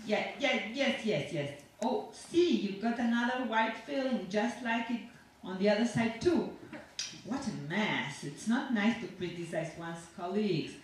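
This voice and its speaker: A woman reading a story aloud as a chatty, self-satisfied, sinister dentist who rambles on with cheerful reassurance to a patient. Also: mid-range voice. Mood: breezy, fussing. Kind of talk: dramatic monologue read aloud to an audience. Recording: echoey room from far off, faint noise.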